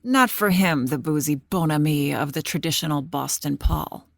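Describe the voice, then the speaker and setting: An actress playing a dramatic, measured storyteller of family history in an audio play. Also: female voice, low voice, vocal fry, no echo, studio quality. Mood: dramatic storytelling. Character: female storyteller recounting a politician's family history.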